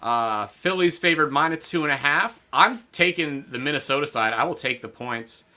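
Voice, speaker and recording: This is a man with a very bright, forward tone, co-hosting a sports betting chat. His talk is loose and rambling, full of fillers and restarts. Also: on the high side, expressive, normal speed. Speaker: podcast co-host.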